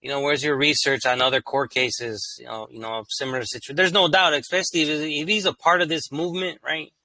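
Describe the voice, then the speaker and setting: Bright, dry-voiced man reading case law. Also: a little high, fairly quick, no room sound. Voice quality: monotone, by his own account. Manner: reads legal text evenly, then breaks into loose slangy asides. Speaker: channel host reading and commenting on court cases. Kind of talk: casual read-aloud commentary.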